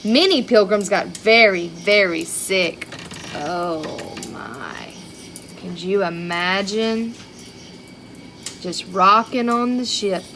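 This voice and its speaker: A woman reading a picture book aloud to young children in an expressive way, with chatty asides and questions to her listeners. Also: mid-range voice, slow pace, a little hiss or hum. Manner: expressive, child-directed, with rhetorical questions and exclamations.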